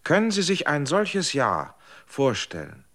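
An actor playing a tempter who keeps asking quiet, probing questions. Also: medium pitch, huge pitch swings, a little slow, heavily acted. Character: tempter offering extra time of life. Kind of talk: radio drama acting.

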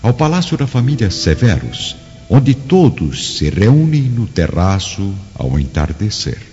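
Male radio drama narrator, very low and lively, dull recording with music behind. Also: measured pace, long reverb tail.

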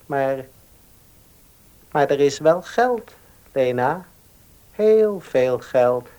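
A sanctimonious, calculating man in a radio drama. He has a mid-pitched voice and talks persuasively, dressing up worldly advice in pious words. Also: lively intonation, drawn-out words, mellow. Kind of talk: Dutch radio play acting.

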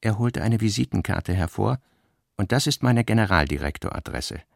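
A man reading scripted literary narration for a radio drama in a measured, sober manner, with a low, creaky voice. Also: expressive, no room sound, clean studio sound.

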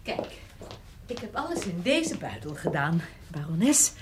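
An actress playing a humble, kindly matron in a period audio drama, deferential, effusive and a little hesitant. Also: female voice, on the low side, big pitch swings, unhurried, husky, heavily acted. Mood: warmly obliging. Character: humble, grateful, kindly boarding-house matron, eager to please.

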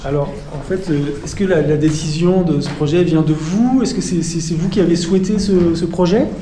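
A man's voice: a little high, moderate pace, warm tone, lightly acted. A man playing a persistent press reporter who repeats words and stammers through his questions in a radio fiction. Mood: probing, hesitant. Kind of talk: improvised mock press conference for radio fiction. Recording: roomy echo with faint noise.

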